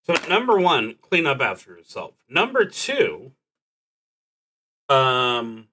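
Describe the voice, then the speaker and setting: A man streaming a solo roleplaying game, thinking aloud and reading prompts in a halting, deliberative manner, sometimes murmuring to himself. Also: medium pitch, wildly swooping pitch, pushed and bright, low-quality recording.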